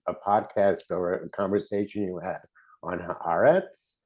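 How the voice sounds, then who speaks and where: Low-voiced rambling podcast host. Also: male voice, a little mellow. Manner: friendly, rambling, trails off. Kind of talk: casual interview hosting.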